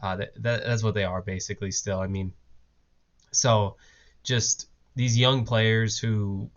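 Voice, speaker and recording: A man with a low, slightly hoarse voice hosts a casual fan podcast on his own. He rambles easily, dropping in fillers and restarting his sentences. The sound is dull and lo-fi, like a basic home mic. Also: fairly even intonation, measured pace. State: hoarse from a lingering cough. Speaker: podcast host. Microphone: desk mic, on video.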